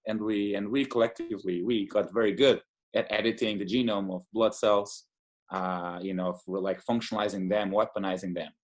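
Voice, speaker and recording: A man's voice: on the low side, steady tone, normal speed. A man from Portugal, an immunologist in his thirties, talking enthusiastically in a casual interview with many fillers and restarts. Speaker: immunologist guest.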